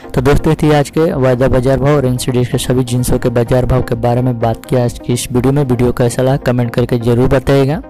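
A man's voice: mid-range voice, flat delivery, fast, dark tone, poor audio. A man hosting a market update, reading out prices as scripted narration in a formulaic, repetitive recital. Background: music.

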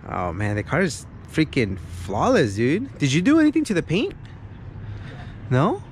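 A man's voice: a little high, wildly swooping pitch, a little slow. A man hosting a car video, chatting casually and enthusiastically outdoors. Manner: enthusiastic, laughs often. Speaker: video host. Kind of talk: casual chat. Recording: outdoor street noise.

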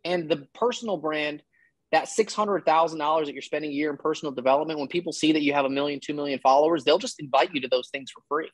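A man's voice: a little high, steady tone, fast talker, no echo, poor audio. A man in his thirties giving casual, emphatic and blunt advice as a coach on a one-on-one video call. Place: indoor room, video call.

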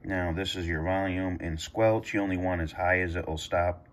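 A man's voice: sits low, fairly even intonation, normal speed. A man giving a casual, improvised how-to, hesitant and thinking aloud as he demonstrates a device. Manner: hesitant, thinking aloud while pressing buttons. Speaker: video host demonstrating a radio scanner. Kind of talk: casual tutorial talk, improvised. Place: carpeted room indoors at home.

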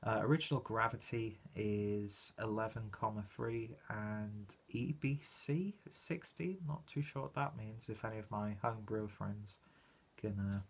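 A British man giving a casual, rambling video review, chatty and self-deprecating as he goes. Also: fairly low pitch. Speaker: beer reviewer presenting own video channel.

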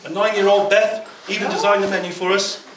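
A middle-aged man delivering a prepared, humorous best man speech at a wedding reception, telling anecdotes with measured pauses. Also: high-pitched, level tone. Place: indoor function room at a wedding reception. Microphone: handheld mic through a PA speaker, filmed from across the room. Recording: distant, echoey, very noisy room recording. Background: loud room noise and guests.

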